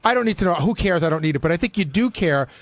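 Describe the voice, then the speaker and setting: A man's voice: sits high, lively intonation, fast talker, no room sound. A man in casual studio discussion as a radio interviewer, probing and challenging what the others say.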